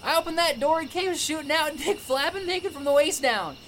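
A man's voice: very high-pitched, lively intonation, normal speed, fairly bright tone, slight background noise, full character voice. A man playing a scruffy, middle-aged, crude and amused eccentric loner in an audio drama. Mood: gleeful, crude.

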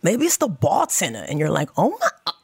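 Brief: woman podcast guest, brash storyteller, fairly low pitch, no room sound. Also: normal speed, vocal fry, husky, dry recording, studio quality. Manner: sassy, animated storytelling. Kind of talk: casual podcast chat.

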